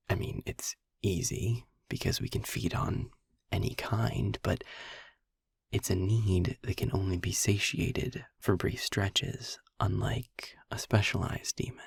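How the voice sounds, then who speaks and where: A man playing a sarcastic, philosophical demon in an intimate audio roleplay, speaking deliberately in a husky, breathy half-whisper with a frustrated but principled air. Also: deep voice, no echo, clean studio sound, full character voice. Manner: sardonic, deliberate, ranting with pauses and sighs.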